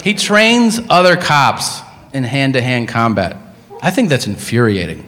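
A man's voice: medium pitch, very animated, unhurried, a bit bright. A man doing live stand-up comedy, telling stories in an incredulous, emphatic, casually profane way.

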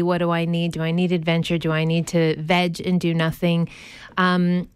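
A woman's voice: lowish voice, clean tone, no echo. A woman in her thirties from New Jersey with a faded New Jersey accent, chatting as a radio guest, laughing often and dropping into playful whispered asides. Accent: faded New Jersey American. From New Jersey, USA. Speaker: studio guest. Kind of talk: casual radio chat.

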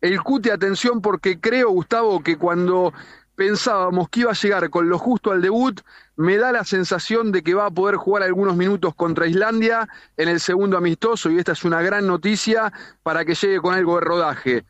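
A man's voice: high-pitched, fairly quick, a bit bright. A man with an Argentine accent reporting live on sports as a correspondent, over a remote link. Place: remote live report from outside a team base. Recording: roomy, dull remote link.

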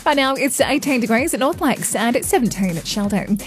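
A woman reading a brisk news and weather bulletin on radio. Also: fairly high pitch, lively intonation, faint music behind. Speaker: radio newsreader.

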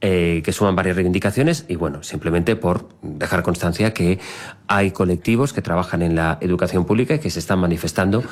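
A middle-aged man with a low voice hosts a casual radio podcast chat. He speaks in a measured, earnest way, and his first language is Catalan. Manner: measured, earnest, defends journalism calmly. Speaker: radio host.

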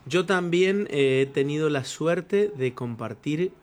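A man with an Argentine accent, a guest musician, talking humbly with many pauses and fillers. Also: fairly high pitch, expressive, darkish tone. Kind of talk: casual chat.

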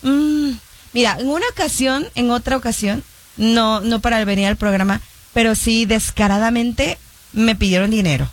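A woman's voice: on the high side, moderate pace. A woman co-hosting a morning show, telling anecdotes in a conversational way and reading headlines in a broadcast studio. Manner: conversational, storytelling, indignant asides.

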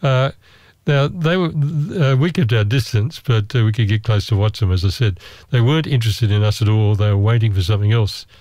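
A man's voice: on the low side, brisk, a little mellow, no room sound. Man, storyteller, dry close sound, wry and self-mocking. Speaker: travel storyteller. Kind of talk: casual storytelling.

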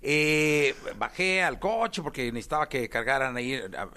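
A man's voice: mid-range voice, lively intonation, normal speed, pushed and bright. A man hosting a casual interview in an affirming, encouraging, conversational way. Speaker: interview host.

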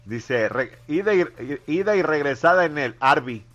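A man's voice: on the high side, lively intonation, normal speed, fairly bright tone, dull audio. A man hosting a morning radio show, reading listener messages aloud and teasing his co-host playfully. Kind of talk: joking morning radio banter.

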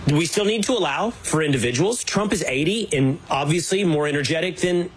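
A man's voice: fairly high pitch, expressive, brisk, rough recording. A young man co-hosting a casual political talk, speaking earnestly and emphatically with frequent restarts. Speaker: co-host.

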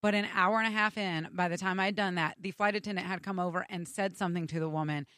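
An American woman, young adult, telling an animated, exasperated comic story in casual chat. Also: on the low side, quick pace, no echo, studio quality. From United States. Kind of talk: casual storytelling on a radio show.

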